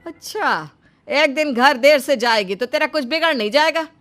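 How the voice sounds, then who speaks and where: A woman's voice: high voice, lively intonation, moderate pace, a bit bright, soft background music, voice pushed up. A woman voicing a stubborn, bossy older village mother-in-law with a scolding, indignant manner in a dramatised moral story.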